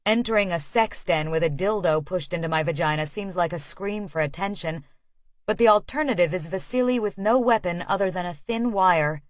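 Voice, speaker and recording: Female audiobook narrator, lower register, first-person fiction, close dry and slightly muffled recording. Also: moderate pace, no room sound.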